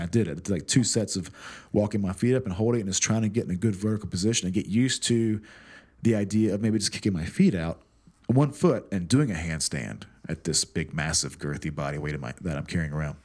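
Man, lower voice, profane and animated casual storyteller, self-deprecating. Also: fast, husky. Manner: often repeats and restarts words.

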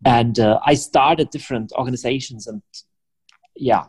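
A man's voice: medium pitch, normal speed, a little mellow, husky. A man in his forties from Germany, a native German speaker talking in English with a German accent, as a guest on a podcast. He speaks reflectively and stammers repeats when starting a thought. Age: 40s. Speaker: guest, prayer house leader. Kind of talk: podcast interview.

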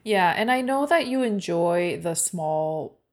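A woman's voice: on the low side, animated, slow. A woman podcast co-host whose first language is Korean, chatting casually and easily with many fillers. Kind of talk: casual chat.

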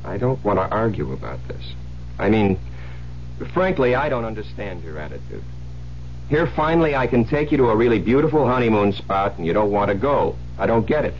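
An American actor playing a suspicious, domineering psychiatrist husband, insistent and pressing, in 1970s radio drama style. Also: male voice, on the low side, big pitch swings, a little fast. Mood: controlling, menacing. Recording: muffled, hissy old radio broadcast with hum.

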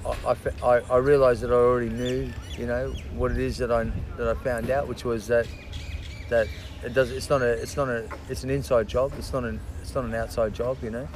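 A man chatting as a podcast guest, halting and full of restarts and fillers, swearing casually. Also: medium pitch, level tone, a little hiss or hum. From Australia. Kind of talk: casual interview chat.

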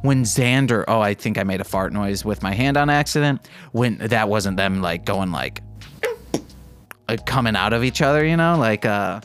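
A man's voice: fairly low pitch, lively intonation, moderate pace, music in the background. A man hosting a podcast, reading fan fiction aloud in an irreverent way and breaking off into casual, joking asides to listeners. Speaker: podcast host.

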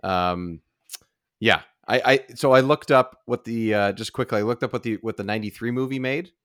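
Low-voiced man hosting a casual podcast. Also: normal speed, fairly bright tone, dry recording, clean studio sound. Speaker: podcast host. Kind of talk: casual chat.

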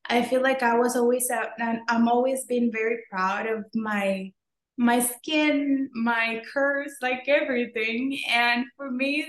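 A woman's voice: on the high side, fairly bright tone. Venezuelan woman chatting in an echoey room. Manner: chatty, many fillers like "like" and "um". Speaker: beauty entrepreneur guest. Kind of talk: casual interview answers.

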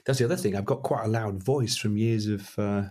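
Middle-aged male musician, husky voice, loose rambling interview talk. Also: on the low side, fairly quick, darkish tone. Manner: rambling, self-deprecating, frequent restarts. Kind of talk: casual interview chat.

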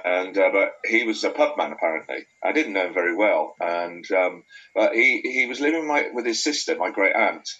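Bright-toned North Welsh man chatting. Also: low-pitched, lively intonation, normal speed, lo-fi sound. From Wales. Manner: rambling, anecdotal, many restarts. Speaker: beer writer. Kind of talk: casual chat.

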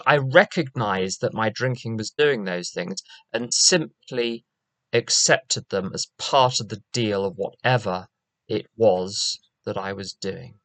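An older man with a London accent, giving a measured, wry personal share at a recovery meeting. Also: fairly low pitch, no echo. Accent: London English. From England. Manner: measured, wry and reflective, with fillers. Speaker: AA meeting speaker. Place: meeting room.

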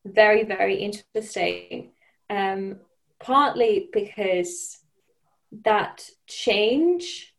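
A woman, a podcast guest speaking thoughtfully, with frequent fillers and restarts. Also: mid-range voice. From Finland. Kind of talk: conversational interview.

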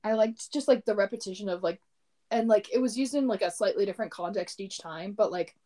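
A young woman discussing poems casually, her voice mellow and warm. She speaks softly, with lots of "like," and keeps the talk going with quiet agreement. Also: medium pitch, brisk. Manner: soft-spoken, frequent murmured agreement. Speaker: co-host discussing poetry. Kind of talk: casual chat.

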